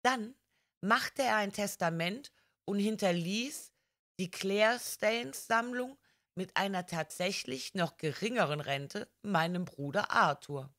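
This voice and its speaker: Woman reading as a slow-spoken, proud young woman. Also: medium pitch, animated, a bit bright. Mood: earnest confiding. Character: proud, defiant young red-haired woman confiding family secrets. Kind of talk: audiobook character reading.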